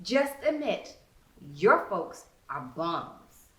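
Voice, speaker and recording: A woman's voice: lowish voice, very animated, very slow, heavily acted. A woman voicing a mocking, smirking teenage girl ringleader with taunting, slangy delivery in a story reading. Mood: smirking contempt. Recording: roomy indoor echo.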